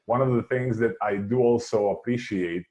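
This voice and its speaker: A man's voice: medium pitch, moderate pace, mellow. A man with a Serbian accent giving an earnest impromptu speech. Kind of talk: impromptu public speaking.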